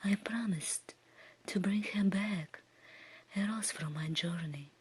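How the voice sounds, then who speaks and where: Woman whispering as a humble, breathy merchant father. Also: mid-range voice, slow. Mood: pleading, fearful. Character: frightened merchant father, pleading and grateful. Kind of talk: whispered ASMR storybook reading.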